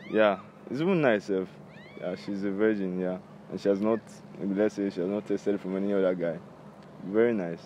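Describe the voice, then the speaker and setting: A man's voice: low-pitched, normal speed, a little mellow. A young man with a Nigerian accent giving casual interview answers outdoors. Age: young adult. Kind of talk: casual chat. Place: outdoors on campus. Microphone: handheld device held by interviewer. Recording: faint outdoor background noise.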